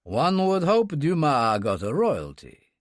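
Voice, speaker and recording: A man playing a suave, unhurried Russian charmer. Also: huge pitch swings, no echo, studio quality, heavily acted. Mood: charming. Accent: slight Russian. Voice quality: pleasantly deep. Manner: unhurried, courtly, ironic. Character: suave Russian man, charming, lazily insolent, self-mocking.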